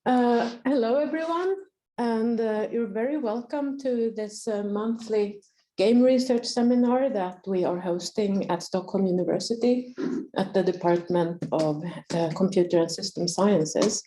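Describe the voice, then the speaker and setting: A woman's voice: mid-range voice, measured pace, dark tone. A woman professor welcoming the audience and introducing a guest speaker, with a few hesitant fillers. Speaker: associate professor hosting the seminar. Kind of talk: formal introduction.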